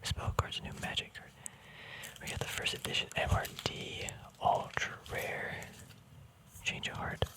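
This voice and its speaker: Guy doing asmr, all soft whispering, laid back and chatty like showing off a hobby. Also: a little slow. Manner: relaxed, informal, chatty. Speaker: ASMR video host. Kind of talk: whispered ASMR, casual card deck walkthrough.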